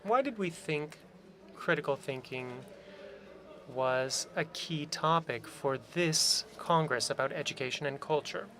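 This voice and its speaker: A man interpreting a conference panel into English, speaking in measured short phrases. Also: fairly high pitch, animated, fairly bright tone.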